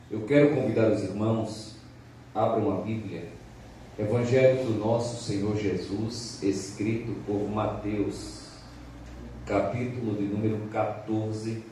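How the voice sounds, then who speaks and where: Deep-voiced evangelist from Pernambuco, echoing hall. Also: male voice, mellow, a little hiss or hum. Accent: Pernambuco Brazilian Portuguese. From Pernambuco, Brazil. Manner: formal, emphatic, dramatic reading. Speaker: visiting evangelist. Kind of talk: sermon with scripture reading. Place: church service. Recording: echoey church hall, from far off.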